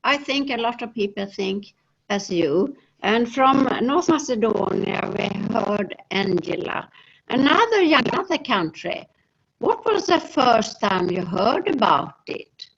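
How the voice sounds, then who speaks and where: A woman's voice: fairly high pitch, big pitch swings, unhurried, creaky. A woman with a Swedish accent moderating an online seminar over a video call, hesitant and full of filler sounds. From Sweden. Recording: dull lo-fi video call audio.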